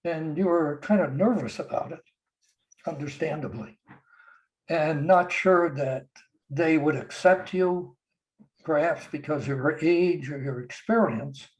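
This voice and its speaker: An older man on a video call gently reminiscing about his daughter, speaking slowly with soft chuckles. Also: fairly high pitch, low-quality recording. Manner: slow, gentle, warm storytelling with soft chuckles. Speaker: guest sharing memories. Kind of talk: casual reminiscing.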